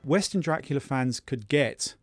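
A male expert talks off the cuff in an interview. His medium-pitched voice moves up and down in a lively way and is recorded very dry. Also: a little slow, no echo, soft background music. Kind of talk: explanatory interview talk.

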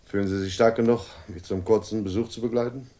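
Male actor as quietly commanding investigator, fairly low voice, understated, measured, 1960s radio drama. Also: steady tone, natural voice. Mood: dry, purposeful. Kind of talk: 1960s German radio crime drama acting.

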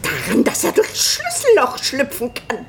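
A woman's voice: high-pitched, huge pitch swings, a little slow, gravelly, slight background noise, exaggerated voice. Eccentric lady, wildly swooping voice. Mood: whimsical, gracious. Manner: whimsical, imperious, theatrical. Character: eccentric, suspicious, haughty lady of the house. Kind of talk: radio drama acting.

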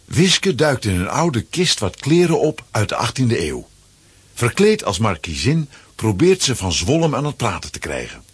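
A man narrates a scripted children's story. His voice is very raspy and of middle pitch, with animated, lively intonation. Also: unhurried.

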